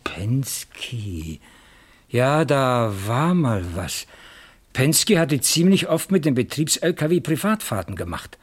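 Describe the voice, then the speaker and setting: A husky-voiced East German factory manager in a radio crime play, at a middling pitch. He sounds earnest and a little defensive, hesitating and puzzling over what he is asked. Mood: taken aback, hesitant. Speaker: actor in a radio crime drama.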